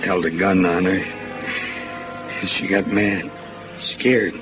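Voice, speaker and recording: A dying American man, low and very slow, breaking his phrases as his strength fades. He is resigned and lovesick, in a muffled old radio drama. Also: steady tone. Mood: bitter, hurt. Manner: halting, broken phrases. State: weak, labored, fading breath. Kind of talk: 1950s radio noir acting. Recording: dull vintage radio recording, prominent music.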